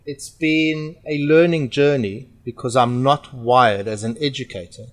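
A man's voice: mid-range voice, unhurried. A middle-aged man with a South African accent and Portuguese roots, talking earnestly as a podcast guest about his work. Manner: earnest, reflective, with fillers. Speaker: podcast guest, franchise owner. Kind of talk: casual interview talk.

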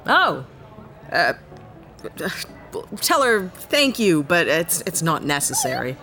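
A wry, animated ex-cop starship captain. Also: female voice, lowish voice, takes it slowly, faint music behind, full character voice. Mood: awkward, polite. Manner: sardonic, blunt, hesitant when awkward. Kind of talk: sci-fi audio drama acting.

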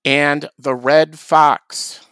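A man co-hosting a podcast, delivering deadpan dry jokes in casual comedic chat. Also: fairly high pitch, extremely slow, no echo. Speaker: podcast co-host.

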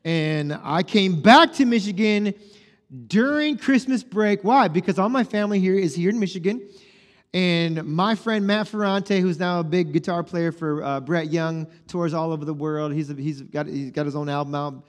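A man's voice: high-pitched, moderate pace. A man in his forties preaching a sermon, telling his story in a conversational way and acting out the dialogue. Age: 40s. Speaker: pastor. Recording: long hall reverb.

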